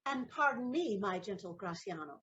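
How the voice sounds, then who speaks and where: A woman's voice: mid-range voice, expressive, normal speed, fairly bright tone, lo-fi sound. A woman playing a saucy, teasing young waiting-woman in a Shakespearean audio play.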